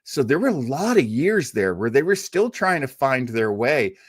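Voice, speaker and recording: Male radio-show host, mid-pitched and animated, conversational, emphatic, given to rambling asides. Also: normal speed, husky, no room sound, studio quality. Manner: enthusiastic, emphatic. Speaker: host of an old-time radio listening show. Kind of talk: casual, chatty introduction to a radio show.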